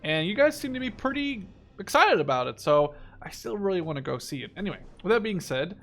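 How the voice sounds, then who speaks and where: A man's voice: sits high, big pitch swings, a little fast, a bit bright, faint music behind. A man in his twenties giving casual gameplay commentary, thinking aloud informally as he plays. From Netherlands. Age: 20s. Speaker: gaming video host.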